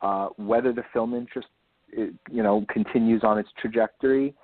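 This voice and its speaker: A man speaking as an author guest in a casual interview, enthusiastic and rambling, repeating words for emphasis, with a slightly husky voice. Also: lowish voice, fairly even intonation, fairly quick. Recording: lo-fi phone-like line, roomy echo.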